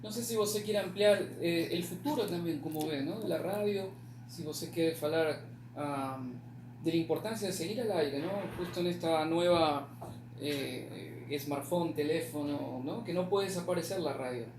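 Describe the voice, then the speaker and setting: A woman's voice: deep voice, moderate pace, background noise. A woman interviewer, a native Spanish speaker, asking informal questions and mixing languages. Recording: echoey room, distant mic, crowd murmur.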